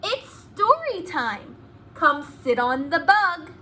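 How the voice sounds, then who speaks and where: A woman voicing a silly, cheerful schoolteacher from a picture book, announcing in drawn-out, teacherly tones. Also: very high-pitched, sing-song, pushed and bright, a little hiss or hum, exaggerated voice.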